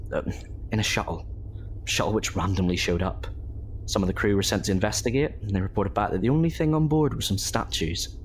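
A man plays a weary crewman in a sci-fi audio drama. He is low-pitched, plain-spoken and resigned, with faint noise behind him. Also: natural voice. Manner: casual, resigned. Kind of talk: amateur audio drama acting.

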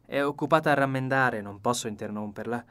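A man's voice: mid-range voice, a little fast, fairly bright tone, natural voice. A man voicing a nosy, insistent middle-aged sister in an audiobook, with a pointed, prodding manner. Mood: coaxing. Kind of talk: audiobook character dialogue.

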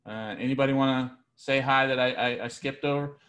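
Relaxed male instructor on a call. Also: medium pitch, a little fast. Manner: relaxed, hesitant with fillers. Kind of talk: casual roll call on a video class. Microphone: video call.

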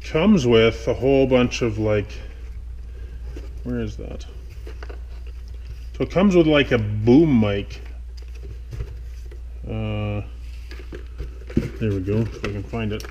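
A man's voice: fairly low pitch, slow pace, long pauses, darkish tone, lo-fi sound, a little hiss or hum. A man rambling hesitantly as he thinks aloud in a casual, unscripted gear walkthrough. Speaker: motovlogger host. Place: indoors in a garage workshop.

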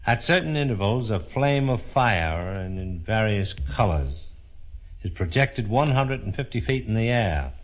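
An American man speaking as a tour guide in a scripted 1930s radio presentation, formal, measured and declamatory. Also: fairly low pitch, lively intonation. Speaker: fair official acting as tour guide. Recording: dull, muffled 1930s radio broadcast.

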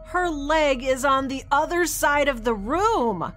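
A woman playing a quirky, excitable young woman in a space audio drama, chatty, wry and rambling. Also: sits high, takes it slowly, soft background music, heavily acted. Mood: shocked.

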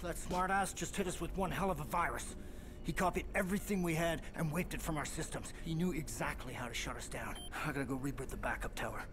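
An actor playing an animated, slangy, eccentric hacker sidekick in a video game. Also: male voice, a little high, fast, background noise, lightly acted. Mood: wry. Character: excitable, foul-mouthed, eccentric hacker sidekick man.

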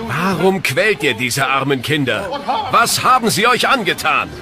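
Stern, animated judge. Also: male voice, fairly high pitch, unhurried, music in the background. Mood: accusing, pressing. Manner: pressing, theatrical questioning. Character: stern, insistent male judge interrogating an accused witch. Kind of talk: historical docudrama dialogue in German.

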